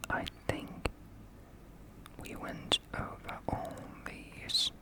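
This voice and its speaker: A speaker from Finland with a Finnish accent, whispering an ASMR video softly and slowly, with small laughs. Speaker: ASMR creator.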